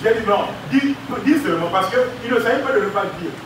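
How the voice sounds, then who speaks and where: A man's voice: sits high, lively intonation, a little hiss or hum. A man preaching a sermon as pastor, calling for responses and stretching out key words. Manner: preaching with call-and-response, drawn-out emphasis. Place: large church hall. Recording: echoey church hall, mic at a distance.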